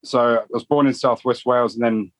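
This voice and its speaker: A man's voice: mid-range voice, a little fast, dry recording. A man in his forties with a London accent, a guitarist chatting casually in an interview with lots of fillers. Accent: London English. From England. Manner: relaxed, rambling, many fillers.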